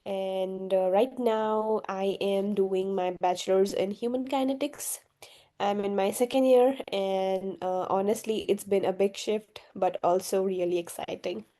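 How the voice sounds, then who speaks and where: A woman's voice: mid-range voice, fairly even intonation, unhurried, mellow. A young woman from Gujarat, India, a native Gujarati speaker and university student, answering interview questions casually with many hesitant fillers. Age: young adult.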